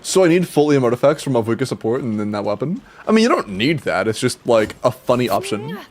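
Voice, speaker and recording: A man's voice: medium pitch, big pitch swings, quick pace. Stuffy young man chatting on stream. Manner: rambling, restarts, swears casually, laughs often. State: stuffy, congested from sinuses. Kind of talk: casual livestream chat with viewers.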